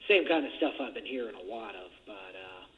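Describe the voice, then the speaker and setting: A man's voice: lowish voice, animated, fairly quick. A man chatting casually in a hesitant, rambling way over a jail phone line. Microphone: telephone handset. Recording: muffled, noisy jail phone call recording.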